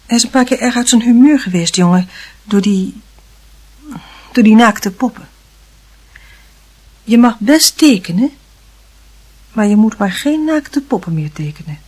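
A mother in a radio play, very husky and breathy. She talks to her young son with concern and gentle admonishment. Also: a little high, lively intonation, unhurried, long pauses. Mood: admonishing. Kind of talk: radio drama acting.

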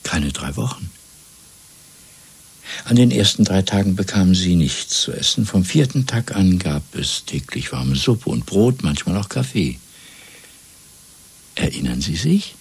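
A menacing male interrogator in a radio play. His very deep, gravelly voice moves in broad swings of pitch while he stays formal and coldly controlled. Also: big pitch swings, heavily acted. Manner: measured, formal, probing with rhetorical questions. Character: calm, cold male party interrogator, methodical and menacing. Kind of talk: German radio play acting. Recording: restored 1970s radio drama recording.

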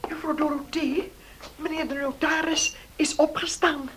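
An actress in a radio play voicing a flustered, deferential household maid with a hesitant manner. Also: female voice, sits high, flat delivery, measured pace, noisy background.

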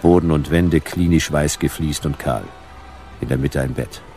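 An actor playing a deadpan narrator of a sci-fi detective radio play, speaking in clipped fragments. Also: male voice, extremely low pitch, moderate pace, faint music behind.

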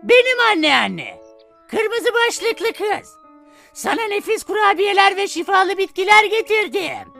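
A woman voicing a sly wolf in a children's fairy tale cartoon, coaxing slowly as it poses as a sweet grandmother. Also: extremely high pitch, big pitch swings, vocal fry, fairly bright tone, faint music behind, full character voice. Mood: fake sweetness. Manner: coaxing, slow, drawn-out delivery.

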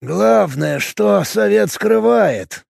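Male reader doing a zealot cultist: slow, rasping, hushed, preachy. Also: lively intonation, no echo, clean studio sound, heavily acted. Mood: solemn preaching. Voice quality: low, creaky, husky murmur. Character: fanatical male cult adept, calm, solemn. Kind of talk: audiobook character voice.

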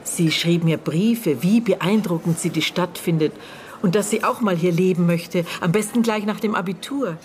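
Radio drama: actress as middle-aged aunt of a dead girl, lowish female voice, terse, halting, guarded, bitter edge. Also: a little mellow, slight background noise, lightly acted. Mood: reflective, guarded. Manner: terse, halting, broken phrases with thoughtful pauses. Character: murdered girl's aunt, bitter, guarded, searching her memory. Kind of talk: naturalistic German radio drama acting.

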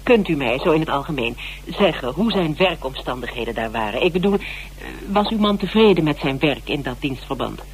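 An actress playing a measured, cautious investigator in a radio play, speaking deliberately with hesitations. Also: female voice, sits low, animated. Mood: formal, probing. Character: questioning investigator, measured and cautious, sometimes sardonic. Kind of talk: naturalistic radio play acting. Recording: noisy old radio broadcast with hum.

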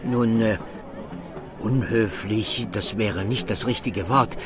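A man's voice: lowish voice, slow pace, crowd noise. A man acting a polite, earnest acquaintance in a radio drama, deferential and groping for the right words. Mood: hesitant, confiding. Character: hesitant, polite, earnest male acquaintance of the doctor. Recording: clear music behind the voice.

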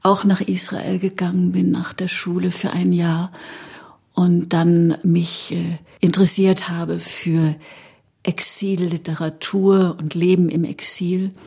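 A woman with a husky, creaky voice recalls her past in an interview. She speaks very slowly and haltingly, with little movement in pitch. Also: warm tone. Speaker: author, interviewee. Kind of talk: reflective interview recollection.

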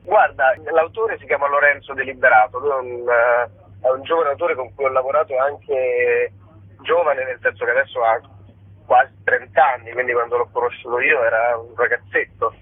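Husky man on a tinny phone. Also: mid-range voice, steady tone, normal speed. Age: middle-aged. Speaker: actor interviewed as guest. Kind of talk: casual radio interview. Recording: tinny phone line with echo.

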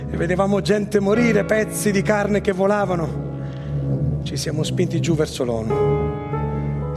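A woman performing a theatre monologue as a grieving, traumatized Bosnian war survivor, anguished and halting, repeating her phrases. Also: sits low, creaky, full character voice. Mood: horrified. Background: music.

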